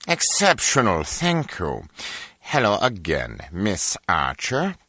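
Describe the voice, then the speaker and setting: A man's voice: lowish voice, wildly swooping pitch, takes it slowly, sharp tone, dry recording, full character voice. An actor playing a polite, mild-mannered male scientist with formal, apologetic speech in a video game.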